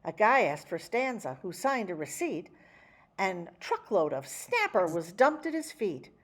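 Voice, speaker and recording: A woman reading a rhyming children's picture book aloud, with theatrical, measured phrasing and dramatic emphasis. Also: medium pitch, very animated. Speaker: children's story reader. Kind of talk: expressive picture-book read-aloud in rhyme.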